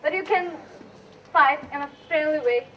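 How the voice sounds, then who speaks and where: A romantic young bride-to-be in a school stage play, acted by a young woman. Her voice is high and comes from a distance, with faint noise in the room. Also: moderate pace, highs cut off, close to an everyday voice. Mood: fond, teasing. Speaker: student actor. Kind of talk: amateur stage play acting. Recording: distant mic, noisy room.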